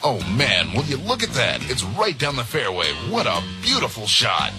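A man's voice: mid-range voice, wildly swooping pitch, normal speed. A man commenting on golf shots in an exclaiming way. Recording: distant clip under loud music.

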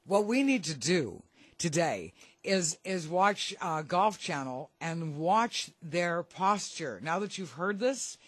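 A woman's voice: on the low side, expressive, slow pace, husky, no echo. Woman radio host with a lingering cold. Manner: informal, conversational. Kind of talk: chatty sports radio interviewing.

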